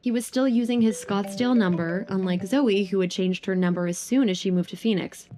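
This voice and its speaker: A woman's voice: mid-range voice, a little fast, mellow. A woman narrating a scripted romance drama as its storyteller.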